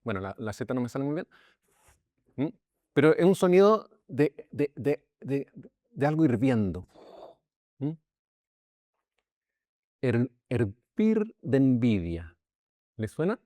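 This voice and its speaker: Chatty Chilean pastor teaching a class. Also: male voice, mid-range voice, very animated, takes it slowly, long pauses, dark tone, no room sound. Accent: Chilean Spanish. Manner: conversational, checks in with listeners with "ya?"; many restarts and repeated words. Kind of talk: informal Bible lesson.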